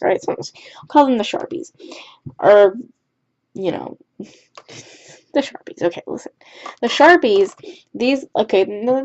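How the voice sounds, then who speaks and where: A woman's voice: medium pitch, a little mellow. A teenage girl chatting casually while she plays, rambling with lots of fillers and restarts. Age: teens. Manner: rambling, filler-heavy, restarts often with "like" and "right?". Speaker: gaming YouTuber. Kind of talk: casual storytime chat over gameplay. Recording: dull lo-fi home mic.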